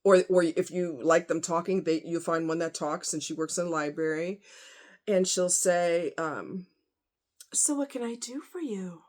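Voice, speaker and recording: A woman's voice: fairly low pitch, a little slow, darkish tone. Casual woman vlogger, chatty and slangy. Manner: conversational, rhetorical questions, informal slang. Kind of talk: casual rambling opinion vlog.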